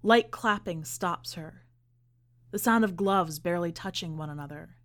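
Low-voiced woman reading fiction. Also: normal speed, fairly bright tone. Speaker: podcast host and story reader. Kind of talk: literary narration and podcast commentary.

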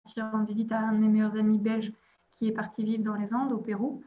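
Guest, woman from Belgium in her twenties, hesitant and chatty, flat delivery, dull lo-fi sound. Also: mid-range voice, quick pace. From Belgium, province of Liège. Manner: hesitant, many "euh" fillers, laughs lightly. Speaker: podcast guest. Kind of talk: casual interview chat.

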